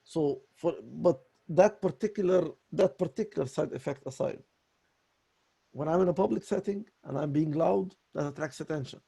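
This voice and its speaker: A raspy man speaks in a halting, apologetic way, doubling back on his words again and again. His voice is dark and gravelly, sitting a little higher than most men's, with a husky edge throughout. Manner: apologetic and halting, many restarts. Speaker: voice student. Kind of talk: voice lesson conversation.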